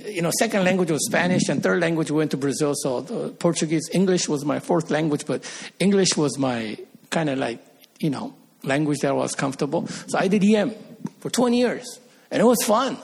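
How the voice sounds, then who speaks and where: Male pastor, middle-aged, slightly high husky voice, earnest sermon delivery. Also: animated, brisk. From Korea. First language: Korean. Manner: earnest, rises to emphatic peaks.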